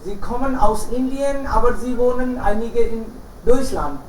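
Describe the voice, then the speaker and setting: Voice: medium pitch, normal speed, darkish tone. The host of an online German conversation club, moderating learners with formal, encouraging remarks over a video call. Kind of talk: moderating a language-learner chat. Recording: echoey, distant call audio with faint noise.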